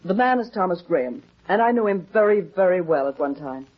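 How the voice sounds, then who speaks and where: An anxious, evasive theater actress in an old detective serial. She speaks hesitantly and pleadingly, with a slightly husky edge, on a dull, muffled vintage recording. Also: fairly low pitch, expressive, a little fast. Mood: confiding, evasive. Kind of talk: 1950s radio drama acting. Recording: muffled 1950s radio recording.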